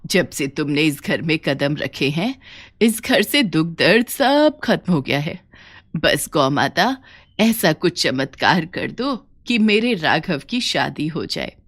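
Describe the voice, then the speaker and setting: A woman voicing a gentle, devout elderly village mother in a cartoon story. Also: low voice, sing-song, slow, pitched below the performer's own voice, exaggerated voice. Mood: wistful pleading.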